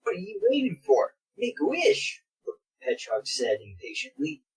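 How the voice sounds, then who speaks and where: A man's voice: sits high, huge pitch swings, normal speed, husky. A man co-hosting a casual read-along, reading fan fiction lines aloud dramatically.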